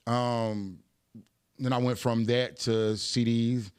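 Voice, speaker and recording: A man's voice: medium pitch, level tone, slow pace, darkish tone, smooth, no echo, studio quality. A man in his thirties with an Atlanta accent, telling stories as a guest in a casual podcast interview, laid-back with lots of fillers. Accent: Southern US, Atlanta. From Atlanta, Georgia. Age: 30s. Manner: laid-back storytelling with frequent fillers and laughs. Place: podcast studio.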